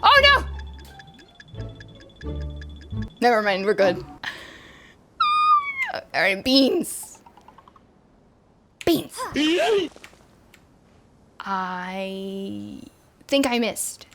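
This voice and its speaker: A woman giving casual, expressive reactions as she plays through a game, swearing freely. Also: medium pitch, extremely slow, long pauses. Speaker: streamer, VTuber. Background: game music.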